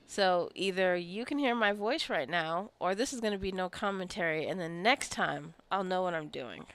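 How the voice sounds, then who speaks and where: Smooth-voiced woman chatting over gameplay. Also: on the low side, normal speed, fairly bright tone. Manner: rambling, chatty asides. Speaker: gaming video creator. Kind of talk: casual gameplay commentary.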